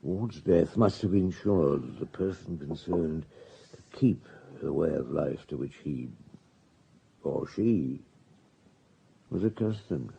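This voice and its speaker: A shrewd police superintendent of middle age in a radio drama. The voice is low, gravelly and very mellow. He moves between terse, authoritative questioning and slow private musing. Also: lively intonation, heavily acted, low-quality recording. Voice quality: gruff, husky. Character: shrewd, unhurried police superintendent on a murder case.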